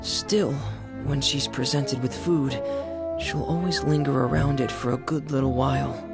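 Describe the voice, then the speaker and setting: Creaky, reserved teenage boy. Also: medium pitch, fairly even intonation, measured pace. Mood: subdued, thoughtful. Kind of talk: video game voice acting. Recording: game dialogue under prominent music.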